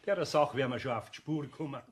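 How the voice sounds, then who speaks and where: A man playing a gruff but good-natured older master craftsman with a Bavarian accent and hesitant, colloquial grumbling in a children's audio play. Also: medium pitch, fast, close to an everyday voice. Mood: reluctant, reassuring.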